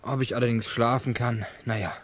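An actor playing a sour, gloomy older brother who grumbles sarcastically in a radio play. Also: male voice, mid-range voice, steady tone, fairly quick, dry recording, muffled recording, lightly acted. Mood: grumbling, gloomy.

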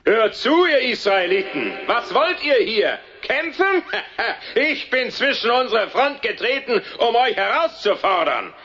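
A boastful giant warrior with a very high, sharp voice. He challenges and sneers in radio-drama style, on a slightly muffled recording. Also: sing-song, unhurried, hardly any pauses, heavily acted. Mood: mocking, challenging. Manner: mocking, challenging, with scornful laughter. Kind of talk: radio play acting.

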